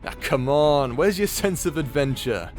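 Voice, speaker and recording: Brash space pirate captain, male actor, very animated, flippant and rousing, music behind. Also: a little high, a little slow. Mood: urgent, rousing. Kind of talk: scripted audio drama. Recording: background music under the voice.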